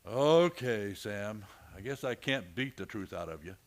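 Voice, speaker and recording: A man's voice: fairly low pitch, expressive, a little slow. A man playing a weary, dogged Old West marshal in a radio play, terse and questioning. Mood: stern, probing. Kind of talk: western radio play acting.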